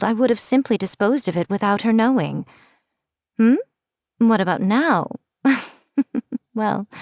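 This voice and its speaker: A woman's voice: a little high, a little mellow, dry recording, clean studio sound. A woman voicing a sly, teasing fox-spirit shrine priestess, speaking in a playful, wry, mocking tone. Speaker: game character. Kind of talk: scripted game voice lines.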